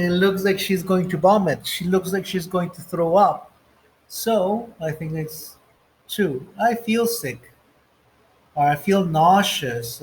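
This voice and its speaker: A man's voice: high-pitched, low-quality recording. A man teaching an English lesson, going through textbook exercises step by step and reading the example phrases aloud.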